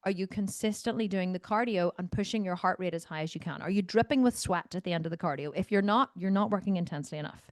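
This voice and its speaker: A woman's voice: fairly low pitch, fast, hardly any pauses, no echo. A woman working as a fitness coach, talking bluntly and insistently on a video call, repeating phrases for stress. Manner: blunt, no-nonsense.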